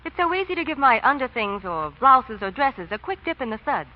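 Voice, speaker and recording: A woman's voice: sits high, very animated, a little fast. An actress playing a chatty, cheerful young woman with an American accent in 1940s radio advertising dialogue. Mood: chirpy. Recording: dull vintage radio recording.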